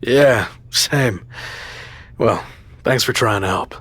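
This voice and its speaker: A man's voice: mid-range voice, takes it slowly, fairly bright tone, husky, exaggerated voice. A man playing a determined, embattled wrestler with terse, dry delivery in a video game. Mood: dry, resigned. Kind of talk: naturalistic video game dialogue.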